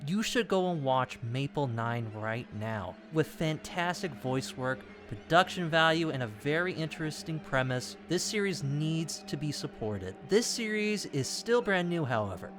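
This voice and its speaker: Lively young man narrating over music. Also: on the high side. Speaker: video essay host. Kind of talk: scripted narration with casual asides.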